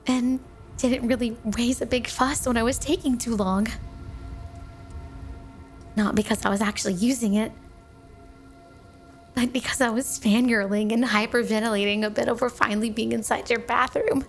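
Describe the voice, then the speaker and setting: Yandere snow woman for ASMR roleplay, played by a woman: slightly high pitch, affectionate, flirtatious, clingy, light music underneath. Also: fairly even intonation, normal speed, pauses often, natural voice. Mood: gushing, obsessive. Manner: flirty, affectionate, teasing, coy. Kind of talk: ASMR roleplay, intimate monologue to a listener.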